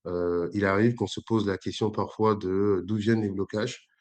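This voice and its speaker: A man's voice: fairly low pitch, steady tone, normal speed, darkish tone. Hesitant man in his forties. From Congo-Brazzaville. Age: 40s. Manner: hesitant, many fillers and restarts. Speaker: entrepreneur guest. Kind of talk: interview, conversational.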